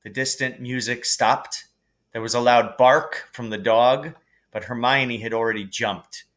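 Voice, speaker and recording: A man reading a storybook aloud as scripted narration, in an expressive, dramatic manner. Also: medium pitch, a little slow.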